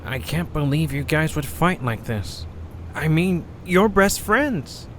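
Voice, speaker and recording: A man voicing a small, clumsy male creature with a propeller, speaking softly and earnestly in an amateur audio drama. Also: a little high, lively intonation, takes it slowly, a little hiss or hum. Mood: caring.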